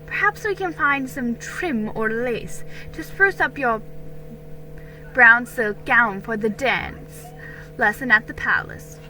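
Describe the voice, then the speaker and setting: A woman playing a bright, kindly colonial mother. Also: a little high, takes it slowly, faint music behind, heavily acted, low-quality recording. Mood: warm, cheerful. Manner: old-fashioned polite phrasing. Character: kindly, brisk colonial mother, polite and cheerful.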